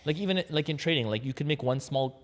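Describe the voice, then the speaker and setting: A man's voice: on the high side, fast, big-room sound. A man in his twenties from Canada, a guest chatting casually on a podcast, eager and rambling with lots of restarts. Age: 20s. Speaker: guest trader.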